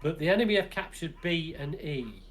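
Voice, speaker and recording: Higher-pitched guy on a gaming podcast, thinking out loud, kinda exasperated. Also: expressive, fairly quick, slight background noise. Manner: talks things through aloud, exasperated at game design. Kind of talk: casual gaming chat.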